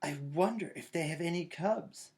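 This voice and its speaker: A man voicing a bossy, excitable six-year-old girl bear cub in a storybook. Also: on the high side, normal speed, pitched above the performer's own voice, lightly acted. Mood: curious.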